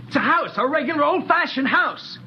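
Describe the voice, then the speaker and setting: A man playing an excitable spaceship crewman, calling out urgently in the style of 1950s radio drama. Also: very high voice, brisk, muffled recording, background noise. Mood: excited. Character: excitable, high-voiced male spaceship crewman.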